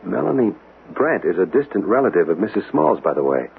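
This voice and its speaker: Earnest, animated antiques enthusiast. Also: male voice, sits low, a little fast, gravelly, full character voice. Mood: intrigued, wistful. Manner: earnest, polite, hesitant. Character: intrigued, earnest, courteous male antiques enthusiast. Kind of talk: old-fashioned radio drama acting. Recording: tinny noisy old radio broadcast.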